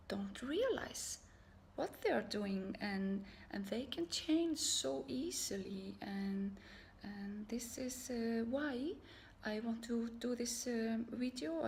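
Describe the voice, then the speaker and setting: Woman, slow, hesitant delivery full of ums, partly whispered, gravelly fry, pitched a bit high; gentle yoga-teacher vlog. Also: a little hiss or hum. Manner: halting, many fillers and restarts, soft and partly whispered. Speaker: yoga and energy healing teacher. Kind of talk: unscripted talk to camera.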